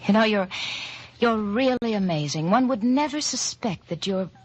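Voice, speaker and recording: A woman's voice: medium pitch, expressive, normal speed, slightly rough. An actress playing an elegant married woman, anxious and secretive, speaking hesitantly in old-time radio drama style. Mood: nervous, polite. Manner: hesitant, breaks off and restarts nervously.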